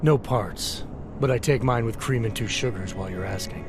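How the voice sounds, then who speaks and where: A man playing a terse, dry-witted special agent with a deadpan manner in a video game. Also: on the low side, moderate pace, natural voice. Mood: cool, deadpan. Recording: loud music and vehicle noise behind.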